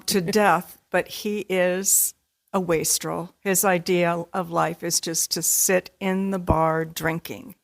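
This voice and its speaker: A woman, a community theatre actor, explaining things conversationally as an interview guest. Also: on the low side.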